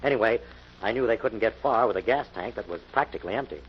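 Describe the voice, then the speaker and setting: Male detective played by an actor, 1940s radio mystery: calm, assured, articulate. Dull old recording, faint noise. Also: on the low side, fast, lightly acted. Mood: assured, explaining. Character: Jim, calm confident male detective explaining a foiled crime.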